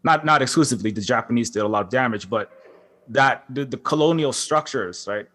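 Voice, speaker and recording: A man speaking on a conference panel as a game developer, impassioned and halting, with many restarts and fillers. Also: mid-range voice, lively intonation, a bit bright. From Hawaii. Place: large concert hall.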